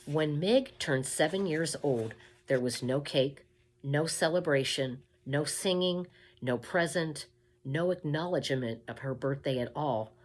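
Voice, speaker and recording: A woman reading a children's story aloud expressively, with deliberate emphasis, addressing the listener directly. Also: sits low, slight background noise.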